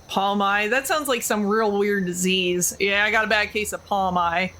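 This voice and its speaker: Bright-voiced woman chatting at a game table. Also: medium pitch, fairly even intonation, a little slow, a little hiss or hum. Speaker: player in a tabletop roleplaying game. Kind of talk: casual joking table chat.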